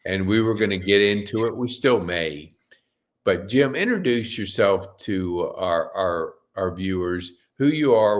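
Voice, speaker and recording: A man hosting a podcast interview who talks in a halting way, often restarting his sentences as he introduces his guest. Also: deep voice. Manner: halting, with many restarts and fillers. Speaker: podcast host.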